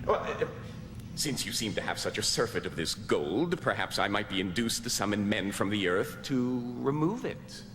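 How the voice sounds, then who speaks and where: An actor playing a shrewd, greedy gentleman adventurer in a radio drama, wry and formally polite. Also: on the low side, animated, moderate pace, noisy background, close to an everyday voice. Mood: scheming, polite.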